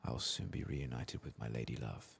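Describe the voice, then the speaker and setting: A man voicing a weary, grieving old elf in a fantasy game, soft-spoken, solemn and formal. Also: extremely low pitch, a little fast, creaky, breathy edge, heavily acted. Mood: solemn resolve. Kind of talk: soft-spoken game dialogue read-aloud.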